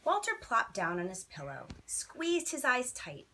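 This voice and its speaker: Bright, animated woman reading a bedtime story. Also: fairly high pitch, unhurried, slight background noise. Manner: dramatic, emphatic phrasing. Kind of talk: expressive children's story reading.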